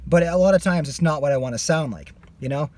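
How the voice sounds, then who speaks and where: A man's voice: on the high side, quick pace, a little mellow. A man teaching a singing lesson. He is losing his voice and stops his explanation to demonstrate screams. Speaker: vocal coach.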